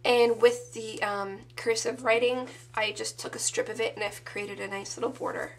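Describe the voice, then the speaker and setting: A woman in her thirties with a sore throat, casually showing off her handmade scrapbook album in a relaxed, chatty, enthusiastic way. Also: fairly high pitch, moderate pace, slight background noise. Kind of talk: casual show-and-tell.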